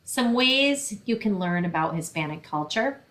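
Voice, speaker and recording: A woman's voice: mid-range voice, animated, a little slow, a bit bright. A woman reading a picture book aloud and explaining things simply for children, as a librarian hosting story time.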